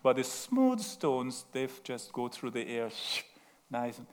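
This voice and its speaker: A man's voice: mid-range voice, sing-song, slow pace, darkish tone, clear, resonant tone. A middle-aged man with a Trinidadian accent, preaching a sermon in a chatty, self-mocking way and often checking in with the room. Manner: chatty, laughing at himself, asks the room "right?". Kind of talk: sermon with asides and stories.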